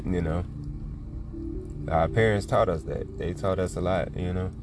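A man's voice: deep voice, fairly even intonation, a little slow. A man, talking in a rambling, casual monologue full of slang and "you know". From Chicago. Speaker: podcast host. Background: music.

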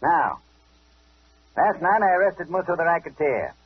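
An actor playing a tough, sly older police inspector in a 1940s radio detective drama, taunting and commanding in clipped speech. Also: male voice, on the high side, very animated, unhurried, breathy edge, full character voice. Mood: sly, deliberate. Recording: dull old radio broadcast recording.

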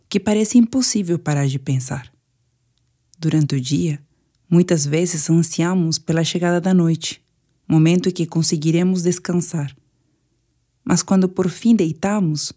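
Woman, Brazilian Portuguese accent, very unhurried delivery, soft dark timbre, soothing meditation instructor. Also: sits low. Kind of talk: guided sleep meditation narration.

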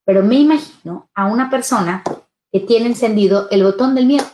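A woman's voice: a little high, fairly quick. Woman giving parenting advice live. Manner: warm advisory tone, addresses parents directly, asks "¿sale?". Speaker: parenting show host answering questions. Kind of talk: live Q&A talk.

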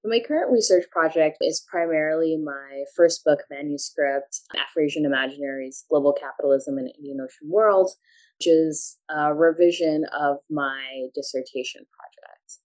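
A woman from Pakistan in her thirties, a professor answering interview questions in a measured, articulate way. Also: low voice, a little mellow, low-quality recording. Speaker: guest, English professor. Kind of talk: academic interview.

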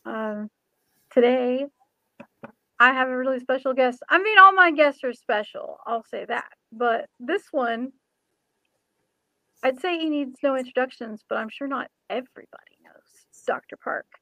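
A woman's voice: sits high, lively intonation, unhurried, bright, forceful tone, dry recording, poor audio. A woman hosting a casual interview show, speaking in an informal, chatty way with sentences that sometimes trail off. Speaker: show host; ordained minister, former pastor.

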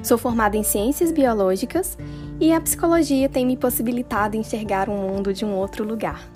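Brazilian woman, mid-30s, loud and projected; slightly high, guitar bed. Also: fairly quick. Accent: Brazilian Portuguese. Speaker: psychology student, biology graduate. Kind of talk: self-introduction. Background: guitar music.

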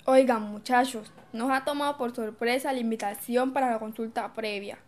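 A woman's voice: on the high side, steady tone, moderate pace, a little mellow, natural voice. A woman acting a worried, unsure villager in an educational radio drama.

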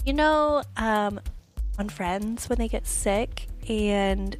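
A woman's voice: mid-range voice, slow pace, faint music behind. Playful podcast co-host woman. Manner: playful, laughs often. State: slightly hoarse, voice gone deep. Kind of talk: casual banter on a wedding podcast.

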